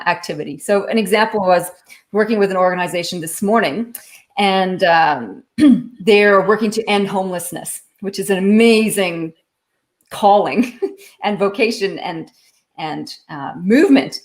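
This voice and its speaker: A woman in a live online interview, explaining her leadership consulting work in an articulate, explanatory way. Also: lowish voice. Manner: articulate, explanatory, lightly laughing. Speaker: guest, leadership author and consultant.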